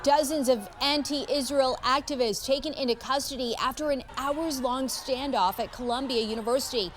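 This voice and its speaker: A woman's voice: sits high, normal speed, background noise. A woman with an American accent reading scripted news as an anchor.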